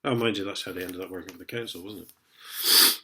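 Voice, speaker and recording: A man's voice: sits low, fairly even intonation, brisk, sharp tone. A man with a sniffly head cold, chatting in a sarcastic vlog and chuckling as he reads letters aloud. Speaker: vlogger. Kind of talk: casual ranting vlog.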